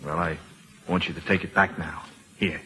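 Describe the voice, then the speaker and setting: An actor playing a gruff, guarded war veteran, terse and halting, with a husky voice, in an old-time radio drama. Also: male voice, sits low, steady tone, bright, forceful tone, slight background noise. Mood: awkward. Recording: reverberant old radio broadcast.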